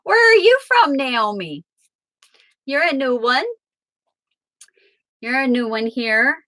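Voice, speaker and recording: A woman from Southern Illinois hosts a tarot livestream, chatting and answering viewer comments. Her voice sits a little high and sounds bright and forceful. She talks slowly, and the sound is dry and close. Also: expressive. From Southern Illinois, USA. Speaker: tarot reader and livestream host. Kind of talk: casual livestream chat with viewers.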